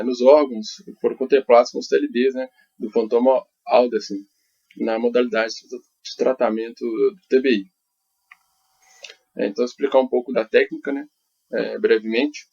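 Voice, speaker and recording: Gravelly Brazilian man presenting research. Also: mid-range voice, a little mellow. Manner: halting, frequent tag questions. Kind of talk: academic conference presentation. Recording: dull, lo-fi audio.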